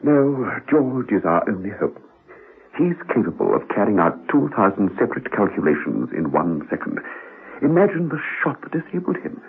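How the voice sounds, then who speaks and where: An actor playing a fussy, exacting doctor in a radio drama, speaking briskly and precisely with excitable turns. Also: male voice, on the low side, husky, exaggerated voice. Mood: grave, precise. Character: fussy, exacting male doctor of computer surgery. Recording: muffled vintage radio recording.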